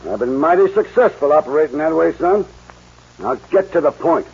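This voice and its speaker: An actor playing a ruthless, domineering land baron and gang boss in an old-time radio western, folksy and threatening. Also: male voice, on the high side, animated, normal speed, creaky, a little mellow, husky, exaggerated voice. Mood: smug, probing. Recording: muffled, noisy vintage radio recording.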